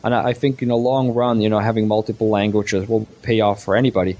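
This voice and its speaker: A man's voice: on the low side, flat delivery, fast talker, darkish tone, dull audio, a little hiss or hum. A man whose first language is Swiss German, giving explanatory advice in a casual panel discussion as a comic creator.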